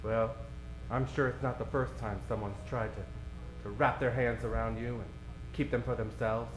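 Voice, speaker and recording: An actor playing an effeminate, flirtatious, lovelorn club owner in a radio detective parody, soft-spoken and hesitant. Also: male voice, mid-range voice, little pitch movement, moderate pace, music in the background, close to an everyday voice. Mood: coy, tender. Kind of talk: parody of old-time radio detective drama. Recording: roomy, distant live stage recording.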